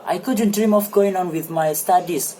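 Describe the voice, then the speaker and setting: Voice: medium pitch, normal speed, warm tone, a little hiss or hum, voice pushed up, lightly acted. An amateur reader playing a talkative, self-satisfied married man in a stage play, with eager, formal phrasing. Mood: earnest, explaining. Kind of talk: amateur dramatic play reading.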